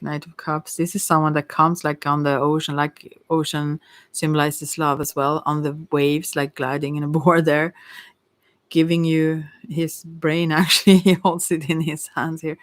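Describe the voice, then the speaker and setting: A tarot reader giving an informal ASMR reading in a hesitant, rambling way with many pauses. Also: mid-range voice, dry recording. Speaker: Ayurveda therapist.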